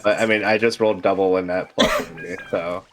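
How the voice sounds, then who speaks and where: A man's voice: low voice, fairly even intonation, fast, crowd noise. A man chatting casually as a co-player in a game, dry and joking. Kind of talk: casual gaming chat.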